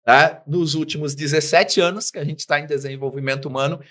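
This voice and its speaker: A middle-aged man, a business guest in an interview, telling his life story with lively acted-out dialogue. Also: on the high side, fairly quick. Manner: animated storyteller, acts out quoted dialogue.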